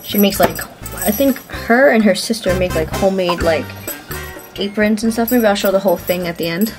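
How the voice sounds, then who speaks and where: A woman's voice: medium pitch, moderate pace. A young woman who sounds stuffed up from a cold chats her way through a recipe in her home kitchen. She talks loosely, full of slang and filler words. Faint music plays under her voice. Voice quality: chatty, laid-back, sprinkles in slang and fillers. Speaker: cooking vlogger. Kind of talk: casual cooking tutorial.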